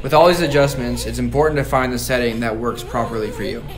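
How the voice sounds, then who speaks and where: A young man giving a clear, steady, scripted product tutorial as a voice-over. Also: mid-range voice, quick pace. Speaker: product demonstrator.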